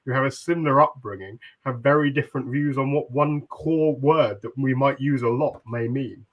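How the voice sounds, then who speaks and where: A man from the UK, speaking on a business webinar panel in thoughtful, winding sentences with frequent pauses and restarts. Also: medium pitch, highs cut off. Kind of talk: reflective webinar discussion.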